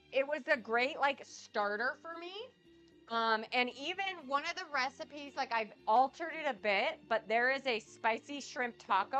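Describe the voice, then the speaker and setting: Bright-voiced woman with a cold. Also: a little high. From United States. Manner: chatty, rambling, reads out and answers chat comments. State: nasal and sniffly from a cold. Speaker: cooking livestream host. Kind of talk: casual cooking livestream chat with viewers.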